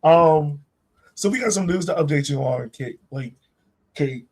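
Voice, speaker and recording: A man's voice: a little high, measured pace, a little mellow. A man hosting a sports fan podcast, chatting casually in an informal, slangy, enthusiastic way. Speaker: podcast host.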